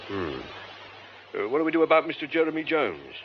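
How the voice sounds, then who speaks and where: An actor playing a gruff, plain-spoken British police sidekick in a radio drama. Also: male voice, fairly high pitch, sing-song, fairly quick, muffled recording, slight background noise. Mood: grumbling, pondering.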